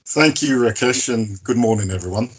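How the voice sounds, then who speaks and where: A man in his fifties, raised in the United Kingdom and Nigeria, introducing himself on a panel with relaxed, self-deprecating hesitations. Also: mid-range voice, moderate pace, husky, low-quality recording. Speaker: private client adviser.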